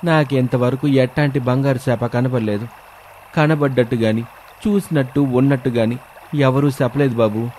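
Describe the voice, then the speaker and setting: A man's voice: medium pitch, steady tone, moderate pace, darkish tone, a little hiss or hum, close to an everyday voice. A man playing a humble, folksy village boatman who speaks deferentially in a storybook tale. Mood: respectful, puzzled. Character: humble rustic boatman, respectful to young master.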